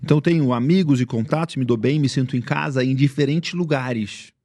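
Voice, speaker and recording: Brazilian man, light carioca accent, podcast guest, middle pitch, dry close sound. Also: fairly quick, a little mellow, no room sound. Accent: Rio de Janeiro Portuguese. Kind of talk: casual interview chat.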